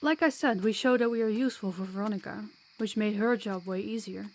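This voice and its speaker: A woman's voice: medium pitch, a little fast, close to an everyday voice. Chatty, kind female vampire played by a woman. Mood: earnest, reassuring. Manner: chatty, reassuring, playful. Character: sociable, kind, teasing female vampire guide. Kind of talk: audio roleplay acting.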